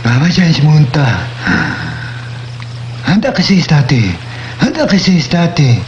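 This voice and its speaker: Desperate possessed man for a radio play: slow, lurching pitch, muffled lo-fi sound, noisy background. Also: sing-song. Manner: pleading, swinging between low moans and high shouts. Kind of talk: radio drama acting. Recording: dull lo-fi radio drama with noise.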